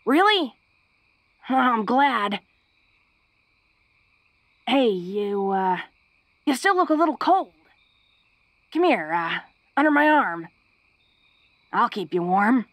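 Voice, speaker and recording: A woman playing a big, tough young orc who is secretly awkward and tender, speaking haltingly and intimately to the listener in a romantic audio roleplay. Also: a little high, sing-song, no room sound. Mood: tender, bashful, then affectionate, teasing. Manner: bashful, halting, breaking into soft chuckles. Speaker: voice actor.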